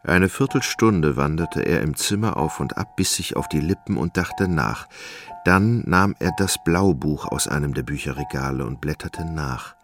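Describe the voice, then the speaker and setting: A man with a very low, creaky voice narrates a literary radio drama. He tells the story in a measured way, with faint music behind him. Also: expressive.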